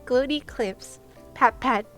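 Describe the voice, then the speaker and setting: A woman's voice: high voice, slow, fairly bright tone, soft background music. A woman livestreaming and chatting casually with her viewers, giggly and playful, with gushing exclamations and sing-song asides. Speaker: live streamer.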